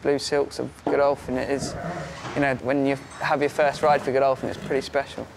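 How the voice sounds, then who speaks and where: A man's voice: mid-range voice, flat delivery, a little fast, gravelly, noisy background. A young man from England, a jockey, chatting casually in an interview and leaning on "you know".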